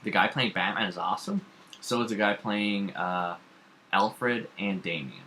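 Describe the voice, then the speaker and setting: A man with a fairly low voice and a bright, forceful edge co-hosts an informal pop culture talk. He rambles through his opinions with emphasis. Some background noise and room ambience sit behind him. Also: measured pace. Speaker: podcast co-host. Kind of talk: casual opinionated chat about pop culture.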